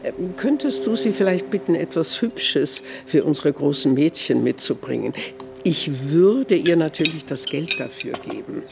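An actress playing a modest, wry, middle-aged housewife bustling as hostess in a radio drama. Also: female voice, sits low, lively intonation, normal speed, gravelly, music in the background. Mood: chatty, wistful.

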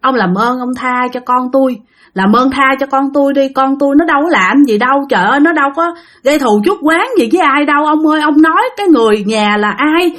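A woman's voice: fairly high pitch, expressive, fairly quick, a bit bright. Woman playing a desperate pleading grandmother. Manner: pleading, tearful. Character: frightened grandmother begging for her daughter.

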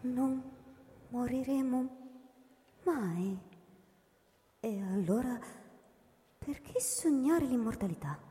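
High, swooping, eerie alien. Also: female voice, lively intonation, takes it slowly, pauses often, warm tone, big-room sound. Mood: solemn wonder. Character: immortal alien explaining its race, patient and eerie. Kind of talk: theatrical radio drama recitation.

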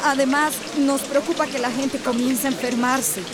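High-pitched anxious woman acted. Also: steady tone, normal speed, a crowd in the background, natural voice. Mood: worried, pleading. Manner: pleading, insistent. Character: anxious woman relief worker calling for help by radio. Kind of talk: radio drama dialogue. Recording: loud rain hiss behind voice.

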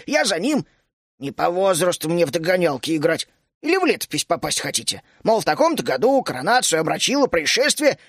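Мужчина-чтец озвучивает ворчливого жилистого старого гнома, который сердито отчитывает собеседников. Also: male voice, high-pitched, a bit bright, no room sound, studio quality, heavily acted. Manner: gruff, scolding. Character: grumpy wiry old male gnome.

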